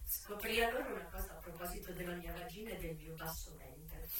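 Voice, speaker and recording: A woman's voice: fairly low pitch, a little fast, a little hiss or hum, voice pushed down, natural voice. An actress playing a worldly madam who recalls her youth in a frank, sensual, intense theatrical narration. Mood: low, confiding. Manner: dramatic, intense, swinging from hushed to loud. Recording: echoey, distant stage recording with music.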